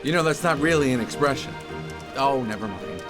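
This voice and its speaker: An actor playing a wry, restless young bee hero in a cartoon game, sardonic and questioning. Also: male voice, mid-range voice, expressive, brisk, music in the background, natural voice. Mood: wry, frustrated. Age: young adult.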